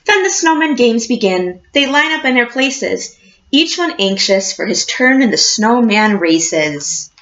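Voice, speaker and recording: A woman reading a picture book aloud like a teacher, expressive and encouraging, addressing young children. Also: a little high, measured pace, dull audio. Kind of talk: storybook read-aloud with questions to a class.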